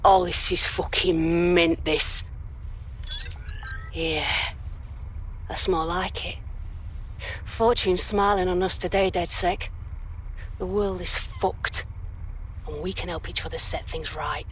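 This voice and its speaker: A woman's voice: fairly low pitch, steady tone, takes it slowly, pauses often, a bit bright, a little hiss or hum. Casting: young female hacktivist, British, brash and cheeky, natural slangy game dialogue. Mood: excited, friendly. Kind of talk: scripted video game acting.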